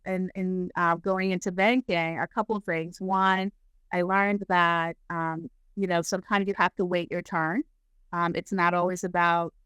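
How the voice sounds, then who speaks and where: Low, smooth-voiced woman, reflective. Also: fairly bright tone, no echo. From Jamaica, raised in Brooklyn. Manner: reflective, measured, with many fillers. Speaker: guest, HR executive. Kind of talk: conversational interview.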